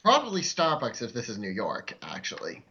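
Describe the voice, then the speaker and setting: Guy playing a tabletop game, really swingy pitch, muffled mic w faint noise. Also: wildly swooping pitch, fairly quick, sharp tone. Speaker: player. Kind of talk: casual chat during a tabletop game.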